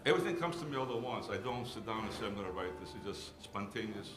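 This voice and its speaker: Older Greek-born man, low voice. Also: animated, quick pace. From Greece. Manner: rambling, repeats words and restarts. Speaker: guest musician. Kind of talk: casual chat on a live music show. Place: live music venue. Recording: roomy venue with music behind.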